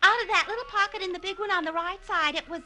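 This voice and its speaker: A woman's voice: very high voice, fast talker. An actress playing a coy, chatty, girlish young daughter in an old radio crime drama. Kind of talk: 1940s-50s radio drama acting. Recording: old radio broadcast with music.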